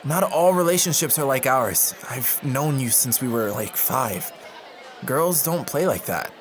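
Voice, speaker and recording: A man's voice: medium pitch, animated, unhurried, a crowd in the background, noisy background, close to an everyday voice. A man playing an easygoing young college student in an audio drama, talking in slangy bro speak to his best friend. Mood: earnest. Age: young adult. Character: easygoing, food-loving college guy, loyal blunt best friend.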